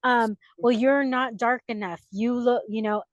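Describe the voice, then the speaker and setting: A woman's voice: fairly high pitch, steady tone, unhurried, no room sound, muffled recording. A young woman hosting a podcast, chatting casually and animatedly, laughing often. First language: English. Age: young adult. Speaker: podcast host. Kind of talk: casual interview chat.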